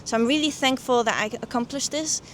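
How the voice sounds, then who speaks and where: Higher-pitched young woman, reflective. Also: fast, slight background noise. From the Netherlands. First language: Dutch. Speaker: guest, coaching client and doctor. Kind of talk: personal testimonial interview.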